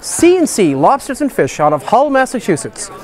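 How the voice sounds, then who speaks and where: A man's voice: very high-pitched, very animated, normal speed, a faint crowd. A man, a market vendor hosting and introducing sellers in an upbeat, folksy salesman manner. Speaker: market vendor and video host. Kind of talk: promotional introductions and voice-over.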